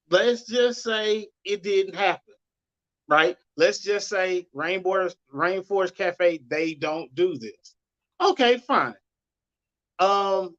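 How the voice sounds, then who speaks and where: A man with a high-pitched, squeaky voice, co-hosting a casual podcast chat and weighing in slowly and deliberately. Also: a bit bright, lo-fi sound. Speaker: podcast co-host.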